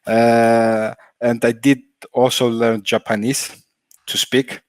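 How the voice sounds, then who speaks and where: A man from Germany whose first language is Greek, a guest on a video-call interview, speaking carefully with many pauses. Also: medium pitch, flat delivery, no room sound.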